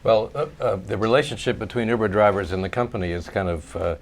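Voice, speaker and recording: A man's voice: lowish voice, quick pace, a little hiss or hum. A man moderating a panel discussion who asks questions and jokes lightly with the guests.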